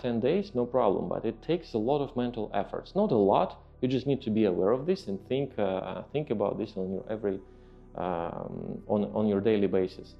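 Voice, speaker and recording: A man with a Russian accent, a native Russian speaker, explaining training in a halting, emphatic podcast monologue as a fitness trainer and host. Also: lowish voice, gravelly. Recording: muffled lo-fi voice, faint music behind.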